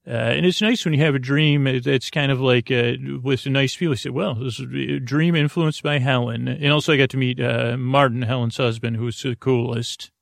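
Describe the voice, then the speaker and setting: A man's voice: mid-range voice, steady tone, moderate pace, vocal fry, few pauses, no echo, clean studio sound. A man hosting a podcast in a rambling, casual monologue, hesitant, often trailing off and doubling back. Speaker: podcast host.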